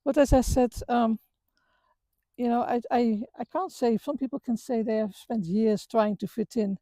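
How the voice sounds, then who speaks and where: A middle-aged woman from the Netherlands with a Dutch accent, talking earnestly about her life with many halting restarts. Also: fairly high pitch, mellow, dry recording. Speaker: vlogger. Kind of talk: reflective personal talk. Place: outdoors on a walk.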